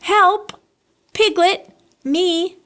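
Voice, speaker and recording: A woman's voice: extremely high pitch, steady tone, drawn-out words, a bit bright, pitched above the performer's own voice, exaggerated voice. A woman voicing a small, timid young male piglet in a storybook, wistful and fretful. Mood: pleading. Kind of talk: storybook read-aloud.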